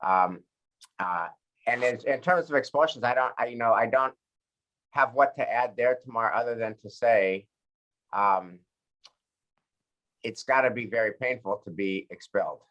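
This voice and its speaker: A man leading a study group as rabbi, talking in a thoughtful, conversational way with frequent pauses and restarts. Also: medium pitch, fairly bright tone, dull audio. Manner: thoughtful, many fillers and restarts. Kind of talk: conversational teaching discussion.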